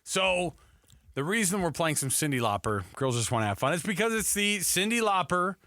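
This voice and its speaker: Bright, dry-miked male sports radio host. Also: high-pitched, sing-song, moderate pace, no room sound. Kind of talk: casual sports talk radio.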